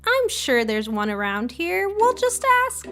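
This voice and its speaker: Woman playing a high-voiced practical mom. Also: big pitch swings, unhurried, a bit bright, heavily acted. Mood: concerned. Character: narrator's mother, practical, caring, doubtful adult woman.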